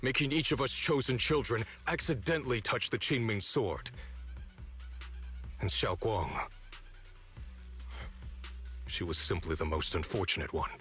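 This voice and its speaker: A man's voice: fairly low pitch, normal speed, fairly bright tone, natural voice. An actor playing a bitter, resolute young man, an orphaned former disciple, in a dramatic video game with sardonic, accusing delivery. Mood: bitter accusation. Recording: studio voice over background music. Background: music.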